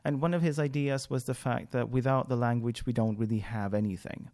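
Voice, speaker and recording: A man whose first language is Swedish, hosting a podcast in English and talking through his thoughts out loud. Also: medium pitch, fairly even intonation, fairly quick. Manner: thinking aloud, frequent fillers and restarts. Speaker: podcast host. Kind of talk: solo podcast monologue.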